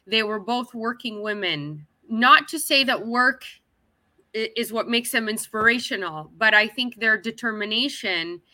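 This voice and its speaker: A woman's voice: fairly high pitch, expressive, unhurried, sharp tone, no room sound. A woman from Canada, talking as an interview guest in a chatty, upbeat way. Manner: chatty and upbeat, with frequent restarts and laughs. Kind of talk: casual interview chat.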